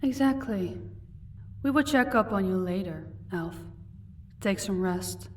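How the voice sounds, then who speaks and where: A powerful vampire mistress played by a woman speaks from a little way off the microphone at a medium pitch. She is condescending and commanding, mocking her listener with unhurried composure, as in an intimate audio drama, over faint noise. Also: expressive, distant mic. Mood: cool, commanding. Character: powerful female vampire, mocking, commanding, married lady of an estate. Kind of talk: audio roleplay acting addressed to the listener.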